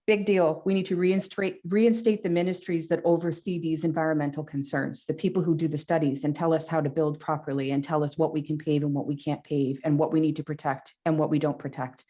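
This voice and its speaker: Woman, low voice, passionate, repeats questions for effect, dull low-fi recording. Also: fairly even intonation, a little fast, few pauses, dark tone. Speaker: Green Party candidate. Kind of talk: debate closing statement.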